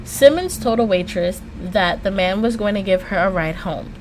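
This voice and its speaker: A woman hosting a true crime podcast, switching between scripted storytelling and slangy, informal, animated asides. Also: mid-range voice, moderate pace, over music, poor audio.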